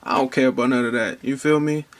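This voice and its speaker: A man's voice: mid-range voice, fairly even intonation, fast, crowd noise. A man in his twenties hosting a casual podcast interview, laid-back and slangy, leaning on fillers. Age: 20s. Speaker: podcast host.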